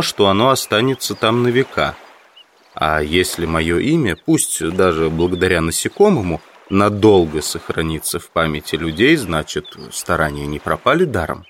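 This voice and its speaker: A man playing an earnest, obsessive amateur entomologist in an audio drama, musing in a deliberate, reflective monologue. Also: lowish voice, slight background noise, close to an everyday voice.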